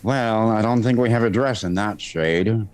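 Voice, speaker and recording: A man's voice: fairly low pitch, moderate pace, smooth, close to an everyday voice. A man playing a sarcastic, fussy store clerk in old-time radio comedy, arch and mock-polite.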